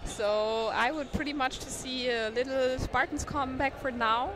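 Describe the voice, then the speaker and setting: Woman, non-native English speaker, live sports commentator; fairly high, chatty delivery over loud hall noise. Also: steady tone, normal speed. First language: not English. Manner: chatty, thinks aloud, stumbles on names. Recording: noisy sports hall ambience. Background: bouncing balls and hall noise.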